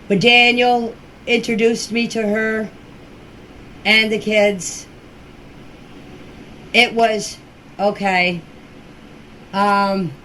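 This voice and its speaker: An older woman giving an informal personal account in a deliberate, halting way, with short emphatic phrases, recorded as an amateur voice message. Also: mid-range voice, a bit bright, slight background noise, rough recording. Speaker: relative recounting events. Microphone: voice clip recorded for group chat.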